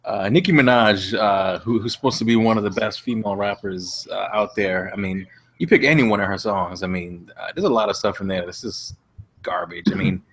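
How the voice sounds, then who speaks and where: A man chatting casually as a podcast co-host, with a hesitant, halting delivery. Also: on the low side, slightly rough. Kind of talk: casual comedic group chat. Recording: faint background noise, clicks.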